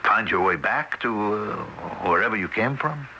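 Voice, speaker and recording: An actor playing a kindly, excitable but world-weary man in a radio drama. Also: on the low side, moderate pace. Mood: wry, coaxing. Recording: noisy vintage radio broadcast.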